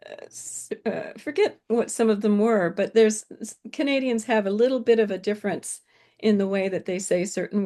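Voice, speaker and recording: An older woman, an author and retired professor, talking in a relaxed interview and laughing easily. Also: mid-range voice. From British upbringing, lives in California. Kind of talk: casual interview conversation.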